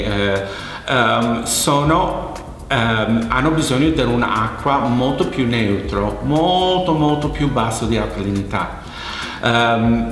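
A middle-aged man, explaining informally to camera as a hairdressing educator, halting and emphatic, often repeating words. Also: mid-range voice, fairly bright tone. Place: indoors, hair salon with wash basin. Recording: very echoey, reverberant room.

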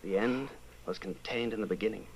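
An actor playing an earnest, fatalistic secret rebel in an old-time radio drama. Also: male voice, lowish voice, fairly quick, creaky, slightly rough, rough recording. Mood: intense, fatalistic.

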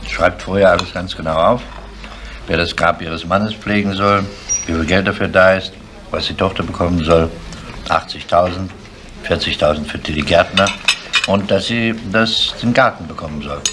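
Low-voiced grumpy police inspector played by a man. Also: fairly even intonation, moderate pace, noisy background, lightly acted. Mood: insistent. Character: grumpy, sceptical, needling male police inspector. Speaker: actor in a crime radio play. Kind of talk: 1970s German radio crime drama acting.

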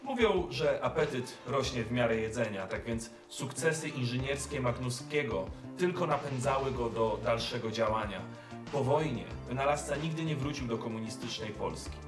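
A man's voice: mid-range voice, moderate pace. Roomy-sounding male science presenter. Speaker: presenter of a popular science show. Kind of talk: scripted educational narration to camera. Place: furnished indoor studio set with desk and shelves. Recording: roomy, distant microphone, music underneath. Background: background music.

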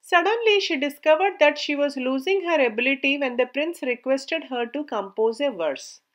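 A woman narrates a scripted story in a high-pitched voice. She reads aloud with care, keeping a measured storyteller's delivery throughout.

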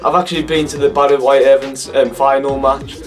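A hoarse teenage young man with a Cumbrian accent, chatting and laughing in a casual reaction vlog from his bedroom. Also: medium pitch, level tone, quick pace, far from the mic, faint music behind. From Cumbria, England. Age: teens. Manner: laughing, swearing, incredulous. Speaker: YouTuber. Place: bedroom, indoors. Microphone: webcam at desk.

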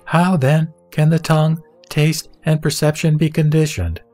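A man reading a Buddhist sutra as a scripted narration, in a measured, formal, didactic manner. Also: on the high side, fairly even intonation, darkish tone. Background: background music.

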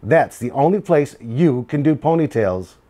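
Guy reading as a storybook mom, patient but doubtful, measured questions, firm about it. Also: medium pitch, animated, warm tone, close to an everyday voice. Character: patient, doubtful mother questioning her daughter.

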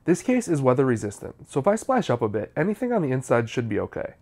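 A man's voice: medium pitch, sing-song, fast, a little mellow. A man, narrating a how-to video in a scripted, explanatory style.

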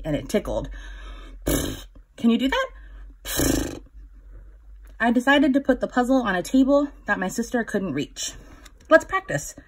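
A woman reads a picture book aloud for young children. Her voice has a slight huskiness and sits at an average pitch. She is gentle and instructive, addressing the young listener directly. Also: husky. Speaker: children's story reader.

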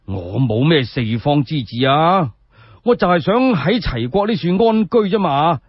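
Man voicing a husky, doting Cantonese prince. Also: a little high, very animated, unhurried, dry recording, heavily acted. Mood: pleading. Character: comfort-loving exiled prince, doting and unambitious. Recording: dull lo-fi sound.

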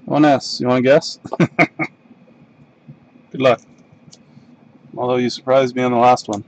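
Casual Canadian male host, medium pitch, slow unhurried delivery, reads cards while opening packs. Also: little pitch movement, darkish tone, low-quality recording. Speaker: box break host. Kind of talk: casual live-stream card break commentary. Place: desk in a home card room.

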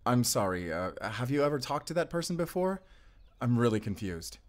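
A man playing an affectionate, playful and flirty love interest in an intimate audio roleplay, with a gently teasing manner. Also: medium pitch, big pitch swings, normal speed, close to an everyday voice. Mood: hesitant, considerate. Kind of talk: intimate audio roleplay acting addressed to the listener.

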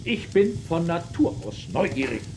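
High-voiced, nosy western general. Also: male voice, sing-song, normal speed. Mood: curious. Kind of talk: German children's audio drama acting. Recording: clear background noise.

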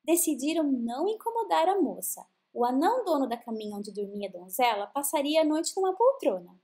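A Brazilian woman tells a children's fairy tale in a high voice. Her melody rises and falls widely, and she stretches words for expressive emphasis. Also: brisk. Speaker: children's storyteller.

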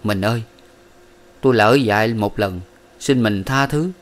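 A man's voice: fairly low pitch, unhurried, close to an everyday voice. A man voicing a desperate, weak-willed young man in a storybook, pleading and whining.

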